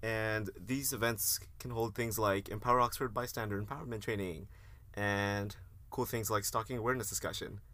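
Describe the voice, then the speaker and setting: A man's voice: on the low side, steady tone, moderate pace, sharp tone. A young man co-hosting a student podcast in a casual style. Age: young adult. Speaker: co-host. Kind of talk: casual podcast talk, sarcastic sponsor bit.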